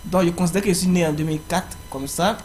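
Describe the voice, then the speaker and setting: A young man giving a screen-recorded walkthrough of an online application, pausing often with fillers as he explains each step. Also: high voice, steady tone, a little mellow. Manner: halting, frequent fillers. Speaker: tutorial presenter. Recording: faint background noise.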